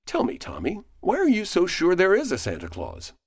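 An actor playing a confident, friendly defense attorney in a courtroom radio play, courteous and persuasive. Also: male voice, sits high, huge pitch swings, moderate pace, breathy edge, full character voice.

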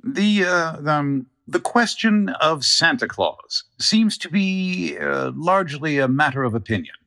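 Pompous hesitant judge, forceful voice, hems and haws, formal, radio play. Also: male voice, a little high, animated, gravelly, full character voice. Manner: hemming, halting, formal. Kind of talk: courtroom radio play acting.

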